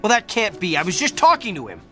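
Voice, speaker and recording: A brusque, arrogant doctor in a radio drama, who talks with a bright, forceful edge. His pitch sits a little high and swings widely. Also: huge pitch swings, quick pace, soft background music, heavily acted. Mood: puzzled. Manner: curt and defensive. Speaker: physician.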